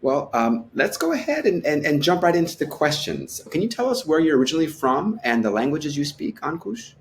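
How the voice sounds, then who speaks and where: A man hosting an interview podcast, asking questions in a friendly, measured way. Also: fairly high pitch, very animated, slight background noise. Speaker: podcast host.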